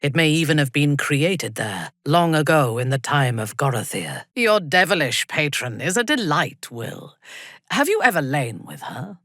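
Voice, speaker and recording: Husky, imperious, ruthless female warrior. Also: low voice, very animated, fairly bright tone, dry recording, clean studio sound, heavily acted. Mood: sultry, sinister. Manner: imperious, measured, menacing delivery. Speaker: video game voice actor. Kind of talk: dramatic fantasy game dialogue.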